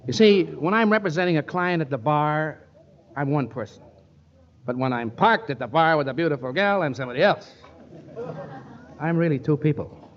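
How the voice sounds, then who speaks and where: An actor playing a wisecracking, flirtatious lawyer in a 1940s radio comedy, sardonic and quick with wry quips. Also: male voice, on the high side, expressive, muffled recording, slight background noise, lightly acted. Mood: smooth-talking. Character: wisecracking, flirtatious male lawyer who likes a drink. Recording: old 1940s radio broadcast.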